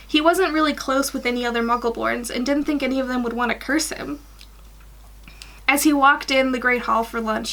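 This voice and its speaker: A young woman in her twenties reading fan fiction aloud in an animated way, stumbling over the odd sentence. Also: sits high. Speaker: guest story reader. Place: small attic bedroom.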